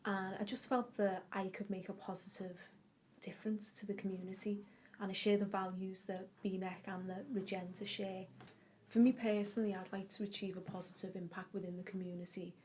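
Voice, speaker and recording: A woman, a community wellbeing officer calmly explaining her aims in an interview. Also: medium pitch, a little fast, a little hiss or hum. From Liverpool, England. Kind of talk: interview answers to camera.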